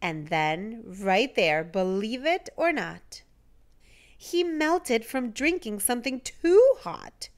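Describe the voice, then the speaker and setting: A woman reading a children's picture book aloud in an animated, deliberate way with dramatic emphasis. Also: on the high side. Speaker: storytime reader.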